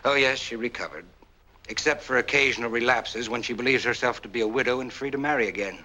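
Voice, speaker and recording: A self-assured war veteran in a 1940s noir film. His voice is bright and forward at a middle pitch. He speaks in measured, courteous sentences with cool control, on an old film soundtrack. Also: low-quality recording. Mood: controlled, persuasive. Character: smooth, self-assured war-veteran husband, calmly explaining.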